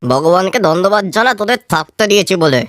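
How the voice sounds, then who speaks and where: A woman's voice: medium pitch, expressive, fast, dry recording, studio quality, close to an everyday voice. A woman voicing a harsh, scornful village father in a Bengali moral-story cartoon, with an accusing, contemptuous manner. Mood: scornful, angry. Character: harsh, scornful village father rejecting his dark-skinned daughter.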